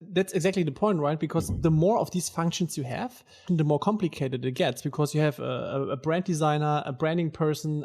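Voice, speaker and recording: A man's voice: fairly high pitch, darkish tone. A man co-hosting a podcast interview who talks casually, agreeing often and chuckling. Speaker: podcast co-host.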